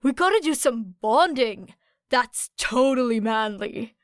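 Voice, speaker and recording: A woman's voice: a little high, lively intonation, unhurried, no echo, clean studio sound. Woman putting on an emphatic, enthusiastic teen boy. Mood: pumped up. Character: hearty, admiring, macho teenage boy.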